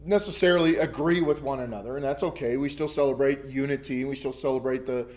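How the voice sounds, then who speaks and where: A man's voice: mid-range voice, steady tone, fast, darkish tone. A man with a somewhat scratchy voice teaches a small church Bible study in front of a camera. He talks loosely and conversationally, often circling back with ums and restarts before landing his point. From United States. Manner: rambling, many ums and restarts. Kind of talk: casual teaching to a small group. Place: church classroom. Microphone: camera recording.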